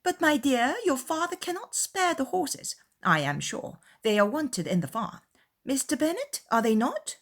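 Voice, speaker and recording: A woman acting out an excitable, fussy middle-aged mother in a dramatized classic novel, eager and insistent. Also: sits high, measured pace, pitched above the performer's own voice, exaggerated voice. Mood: scheming, insistent.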